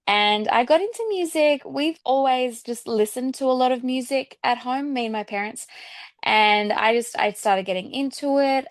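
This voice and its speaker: A woman with an Australian accent chatting casually as an interview guest, often softening points with filler phrases like "kind of". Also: mid-range voice, dry recording, studio quality. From Sydney, Australia. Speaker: guest singer-songwriter.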